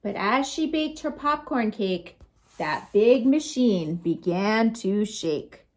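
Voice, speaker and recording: A woman storytime host reading picture books aloud to children, expressive and sing-song, with chatty asides to her young listeners. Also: medium pitch, slow. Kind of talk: animated picture-book read-aloud for children.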